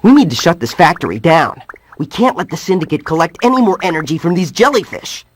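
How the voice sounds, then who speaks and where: A woman voicing a calm, determined young hero and team leader in a cartoon video game, reassuring and matter-of-fact. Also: lowish voice, quick pace, slight background noise.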